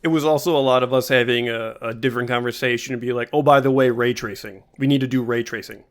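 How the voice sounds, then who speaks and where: A young man co-hosts a podcast, slipping in dry jokes during easygoing back-and-forth. His voice sits at an ordinary middle pitch. His delivery is relaxed and unscripted. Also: fast talker. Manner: dry, joking asides. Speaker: podcast co-host. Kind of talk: casual podcast chat.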